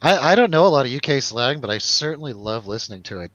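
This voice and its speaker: A man's voice: mid-range voice, sing-song, quick pace, fairly bright tone, lo-fi sound. A man chatting casually and jokily as host of a film review show, in informal, slangy talk. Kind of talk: casual chat, film review over drinks.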